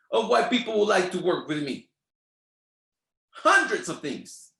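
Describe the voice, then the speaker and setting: A man's voice: very high-pitched, creaky, a bit bright, reverberant. A man whose first language is Spanish, speaking with a Spanish accent and giving a motivational training talk in an emphatic, repetitive, preacher-like rhythm. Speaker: network marketing trainer.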